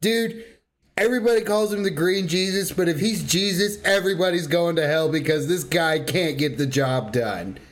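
Blunt, ranting man with a highish voice. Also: normal speed. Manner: blunt, emphatic, sweary, slangy. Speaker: podcast co-host. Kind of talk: casual ranting about video game lore.

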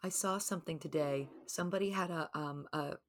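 A woman's voice: low-pitched, brisk, slight background noise. A woman interviewing a guest, asking questions in a thoughtful, conversational way with frequent ums and you-knows. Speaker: interviewer, host.

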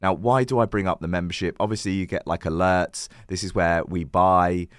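A man with a croaky, worn voice hosting his own channel, giving chatty crypto commentary with lots of fillers and self-corrections. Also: deep voice, fairly even intonation, fairly quick, no room sound, clean studio sound. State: croaky, recovering lost voice. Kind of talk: casual to-camera crypto commentary.

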